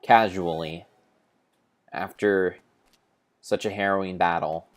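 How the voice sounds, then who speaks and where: A low-pitched man narrates gameplay as if it were a grand epic tale. His delivery is theatrical and solemnly overblown, made up as he goes, with deliberate pauses and the odd corrected word. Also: steady tone. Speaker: narrator of a video game playthrough. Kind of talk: mock-epic dramatic storytelling, improvised.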